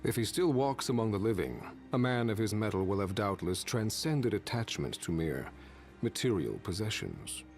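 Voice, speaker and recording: A man's voice: fairly low pitch, big pitch swings, moderate pace, darkish tone. An actor playing an ancient, stern male warrior guardian who speaks in solemn, archaic pronouncements. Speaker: video game character. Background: game score music.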